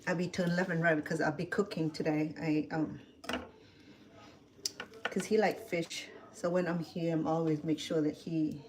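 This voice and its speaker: A woman's voice: low-pitched, flat delivery, normal speed, noisy background. A middle-aged woman from Cambodia with a Cambodian accent, chatting casually with livestream viewers while cooking in her home kitchen. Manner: chatty, answers viewer comments, laughs often.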